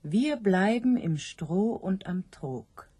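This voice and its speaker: A woman acting an anguished female pig in a radio play, with a husky, breathy voice. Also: mid-range voice, lively intonation, slow, warm tone, heavily acted. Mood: stricken, resigned.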